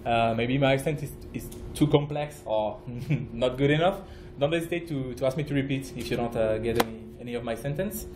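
A man from France with a French accent, giving a conference talk as a project manager, halting, with many fillers and restarts. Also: medium pitch, a little mellow, echoey room, a little hiss or hum. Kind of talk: conference presentation. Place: conference room.

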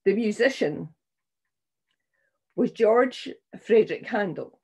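Slow, mellow, husky older woman preacher. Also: medium pitch, animated. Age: 60s or older. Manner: solemn, measured, deliberate phrasing. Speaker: minister leading a church service. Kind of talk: scripture reading and sermon. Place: small room at home, indoors.

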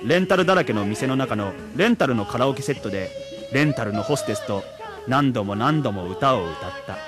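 A man's voice: mid-range voice, expressive, a little fast, music in the background, close to an everyday voice. A man acting in a Japanese radio drama as a hapless, rueful salaryman who also narrates his own slide. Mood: wry, resigned. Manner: wry, resigned, theatrical when upset. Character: hapless rueful salaryman sliding into drink and debt.

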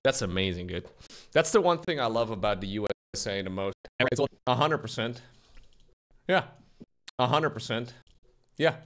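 A young man live-streaming from his room, talking to chat with a bouncy, animated delivery. He jokes around and reacts freely, his pitch sweeping up and down as he goes. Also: moderate pace, pauses often. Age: young adult. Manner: lively, joking, reads chat aloud. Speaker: streamer editing a video. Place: small room at home, indoors. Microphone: desk mic, wearing headphones, webcam framing.

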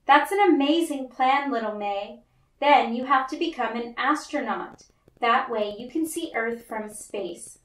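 A woman voicing a warm, reassuring, encouraging mother in a children's storybook. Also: sits high, measured pace. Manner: reassuring, emphatic. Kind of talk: children's storybook read-aloud. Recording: roomy, echoey, distant mic.

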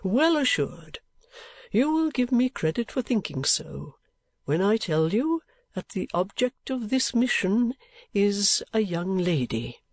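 A man playing a high-voiced, earnest old gentleman. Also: very animated, no room sound, full character voice. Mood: earnest, confidential. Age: older. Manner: emphatic, repetitive, halting, drawn-out delivery. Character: kindly, excitable grey-headed old gentleman, earnest and sentimental.

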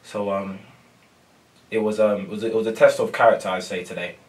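A young male footballer talks in a low, even monotone, hesitating with ums and repeating himself. He sounds a little set back from the microphone in a press room. The delivery is soft and unhurried. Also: distant mic. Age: young adult. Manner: soft-spoken, many ums and repeated phrases. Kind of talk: post-match interview. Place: press room before a sponsor board.

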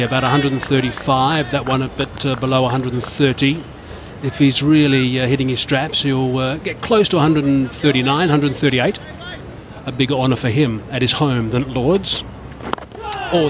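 A man giving live television cricket commentary in a measured way, lifting sharply when a big moment comes. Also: medium pitch. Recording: broadcast commentary over noisy crowd ambience.

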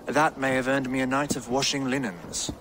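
A man's voice: medium pitch, noisy background, natural voice. Man acting a solemn, pious medieval king. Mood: wry, resigned. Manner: solemn, deliberate, preacherly. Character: pious, dignified, brooding Anglo-Saxon king in exile. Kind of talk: dramatic video game acting.

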